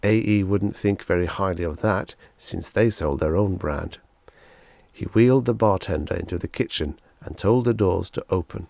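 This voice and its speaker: A man's voice: low-pitched, normal speed, gravelly, a little mellow, no echo. A man narrating a short story as a scripted audiobook reading.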